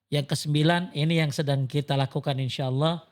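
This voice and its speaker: Higher-pitched male religious teacher. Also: steady tone, fairly quick. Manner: didactic, asks questions then answers them, emphatic repetition. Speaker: religious teacher giving advice as a guest. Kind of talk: informal Islamic teaching in a group conversation.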